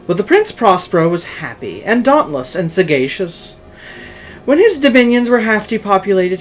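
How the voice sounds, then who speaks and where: A narrator reading a horror tale in a theatrical, dramatic way with drawn-out emphasis. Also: medium pitch.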